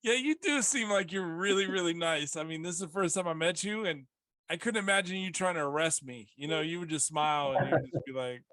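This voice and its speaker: Chatty man hosting with a higher voice. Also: a little fast, pushed and bright, no echo. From South Texas. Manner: chatty, joking, many fillers. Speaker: podcast host. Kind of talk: casual interview.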